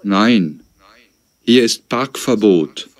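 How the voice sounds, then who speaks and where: A man voicing a strict parking warden who speaks firmly and sternly. His voice is low and his delivery slow. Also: lively intonation. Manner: stern, firm. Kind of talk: scripted textbook dialogue.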